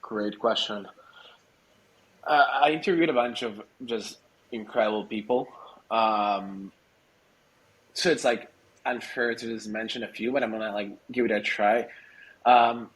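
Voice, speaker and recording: A man's voice: fairly low pitch, fairly even intonation, a little slow, pauses often, fairly bright tone, rough recording. A man from Argentina whose first language is Spanish, chatting casually as an interview guest and feeling for his words in an informal, hesitant way. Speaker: podcast guest, startup growth executive.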